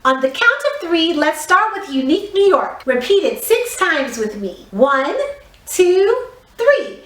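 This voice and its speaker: Woman, high-pitched, children's theatre instructor, step-by-step warm-up directions, encouraging, distant echoey recording. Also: sing-song, unhurried. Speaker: children's drama teacher. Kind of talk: guided theatre warm-up lesson for young children. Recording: roomy echo, distant microphone.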